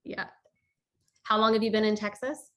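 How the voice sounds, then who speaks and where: A woman's voice: medium pitch, little pitch movement, a bit bright. A woman from New Jersey with a faded New Jersey accent, chatting agreeably and eagerly. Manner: agreeable, eager, quick to laugh along. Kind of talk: casual chat.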